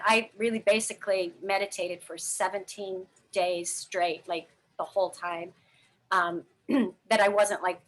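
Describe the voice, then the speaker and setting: Bright-voiced woman rambling through her story. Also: medium pitch, low-quality recording. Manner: rambling, many fillers and restarts; frank, earnest. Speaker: interview guest. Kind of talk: candid personal storytelling.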